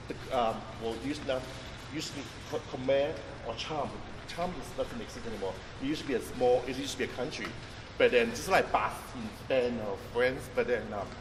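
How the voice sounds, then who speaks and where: Chef-guide type: a man, mid-pitched, stop-start delivery. Recorded at a distance, echoey street recording with steady traffic noise. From Vietnam. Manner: halting, many restarts and fillers, thinks aloud while explaining. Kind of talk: informal guided-tour talk. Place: outdoors on a busy street near a market.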